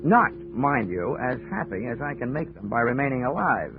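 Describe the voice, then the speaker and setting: Actor as a wry radio detective, muffled vintage recording. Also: male voice, medium pitch, big pitch swings, normal speed, natural voice. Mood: brisk, cool. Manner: wry, flippant, clipped. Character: wisecracking, unflappable male insurance investigator. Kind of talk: 1940s radio acting, detective narration. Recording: muffled old radio recording, music behind.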